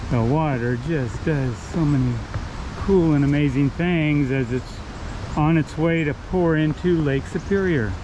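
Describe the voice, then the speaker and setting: A man narrating a casual outdoor hike as a photographer, relaxed and enthusiastic, often restarting his sentences. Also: a little high. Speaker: photographer vlogger. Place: outdoors by a river and waterfalls. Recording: outdoor noise of rushing water. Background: rushing water.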